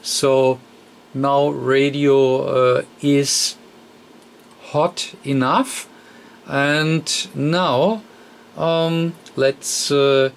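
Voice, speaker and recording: A man who speaks as a walks through a technical repair step by step. His voice sits at an average pitch and moves very slowly, with frequent hesitations and an unscripted, halting flow. A faint layer of noise sits behind him. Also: fairly even intonation, gravelly. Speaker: radio repair technician demonstrating. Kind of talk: unscripted hands-on repair tutorial.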